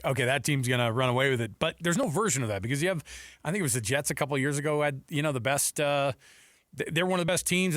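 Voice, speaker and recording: A man's voice: medium pitch, fairly bright tone, dry recording. A man hosting a sports radio show, chatting casually in a studio.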